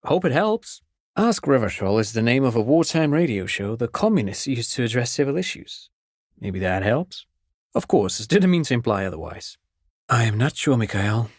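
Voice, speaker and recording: An actor playing a chatty, courteous amateur academic in a video game, explaining things precisely like an eager enthusiast. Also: male voice, medium pitch, sing-song, moderate pace, slightly rough, no room sound, studio quality. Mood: musing, polite. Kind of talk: game character dialogue.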